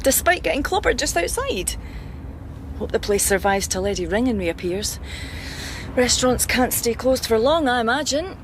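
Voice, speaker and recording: An actress playing a playful police detective in a radio drama, talking with teasing familiarity to a colleague. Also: female voice, on the high side, sing-song, moderate pace, background noise. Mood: curious, familiar.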